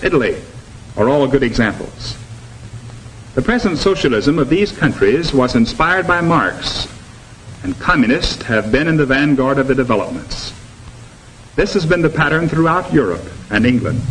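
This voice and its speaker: A man's voice: mid-range voice, close to an everyday voice. A man lecturing formally and emphatically as a teacher in a 1950s educational film, on a noisy old soundtrack. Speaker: teacher leading a classroom discussion.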